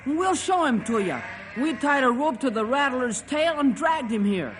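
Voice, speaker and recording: A high-voiced ranch boy. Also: expressive, moderate pace, fairly bright tone. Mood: eager. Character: young boy Paul, hurt ranch kid. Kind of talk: 1950s radio drama acting. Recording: old radio recording with faint hiss.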